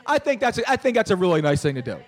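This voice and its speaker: Male sports-podcast host, high-pitched, excitable, rambling. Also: very fast. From Philadelphia area. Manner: animated, stammering restarts, mock play-by-play calls. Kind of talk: casual sports chat.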